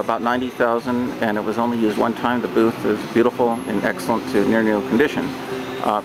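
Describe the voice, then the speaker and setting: Gravelly man touring a booth. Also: medium pitch. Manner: plain, unhurried sales description with many pauses and fillers. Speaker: used trade show booth seller. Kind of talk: walkthrough tour. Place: large warehouse, indoors. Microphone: handheld camera held by the speaker. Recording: noisy warehouse camera audio.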